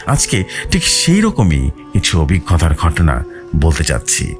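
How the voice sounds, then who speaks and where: A man's voice: deep voice, huge pitch swings, measured pace, over music. A man narrating a scripted horror story in a dramatic way. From West Bengal, India.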